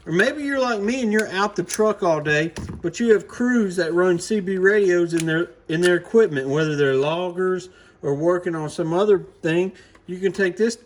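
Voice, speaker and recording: A man giving a casual, folksy, plain-spoken product talk to camera as a hobbyist presenter. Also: high voice.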